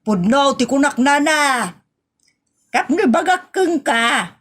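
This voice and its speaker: A woman performs an outspoken Ilocano village woman in a family comedy radio play. The voice sits fairly high and moves very slowly, stretching out its nagging exclamations. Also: lively intonation. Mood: scolding. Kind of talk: Ilocano comedy audio drama acting.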